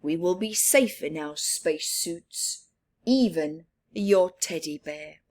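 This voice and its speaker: A woman's voice: lowish voice, takes it slowly, darkish tone. A woman reading aloud, voicing a cheerful, reassuring male astronaut in a picture book. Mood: friendly. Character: friendly grown-up male astronaut.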